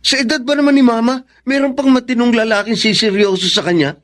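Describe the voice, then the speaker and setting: Angry older brother in a radio drama, highish voice, scornful, pushy, trusts nobody. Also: male voice, steady tone, quick pace. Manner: scornful, insistent. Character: hot-headed, distrustful grown son and older brother. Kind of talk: Tagalog radio drama acting.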